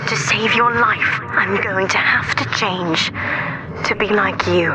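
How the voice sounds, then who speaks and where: Actress as an earnest young daughter, loud noise behind. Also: female voice, mid-range voice, slow pace, close to an everyday voice. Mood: sorrowful, earnest. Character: devoted, resolute young daughter, sheltered and gentle. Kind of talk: dramatic video game acting.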